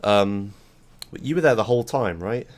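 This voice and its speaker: A hoarse man in casual podcast chat, his voice sitting fairly low and rough from a lingering sore throat. He rambles loosely and drops casual swears. He talks the way you do with friends, with no script. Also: lively intonation, moderate pace. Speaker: podcast host.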